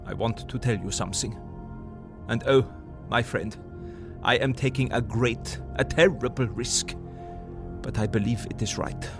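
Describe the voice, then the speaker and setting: An actor playing an older foreign professor in an audio drama, earnest and emphatic, with halting foreign syntax. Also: male voice, mid-range voice, over music, lightly acted. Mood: grave, urgent.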